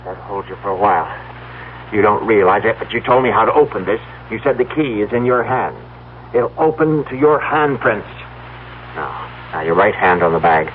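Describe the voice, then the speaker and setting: An actor playing a desperate, pleading farmer in an old radio drama, speaking urgently and haltingly and repeating his words. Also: lowish voice, expressive, natural voice. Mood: excited, urgent. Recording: tinny, noisy old radio broadcast recording.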